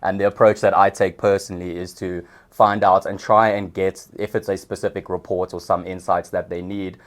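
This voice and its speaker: A young South African man, a podcast guest, explaining his work in a relaxed way with some hesitations. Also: sits low, moderate pace. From Johannesburg, South Africa. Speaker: guest, customer success manager. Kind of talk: casual interview.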